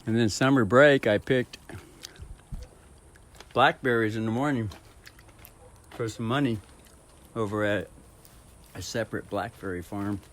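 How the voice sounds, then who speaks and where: A man's voice: on the low side, steady tone, a little slow, long pauses. A man rambling casually in a home vlog, with a profane, unfiltered way of talking. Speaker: vlogger. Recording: clearly noisy ambient background.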